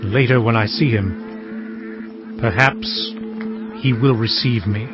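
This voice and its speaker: Male actor as humble patriarch, solemn, measured delivery, mid-pitched, scripture audio drama, music behind, dull sound. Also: close to an everyday voice. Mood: anxious, pleading. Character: earnest, humble herdsman patriarch fearing his brother.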